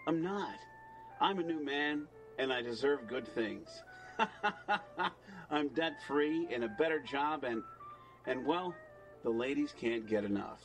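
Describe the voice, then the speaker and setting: Actor as a slow, smug testimonial man. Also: mid-range voice, lively intonation, faint music behind, rough recording. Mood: smug, pleased. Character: smugly satisfied testimonial man, self-assured. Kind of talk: parody advert testimonial in audio drama.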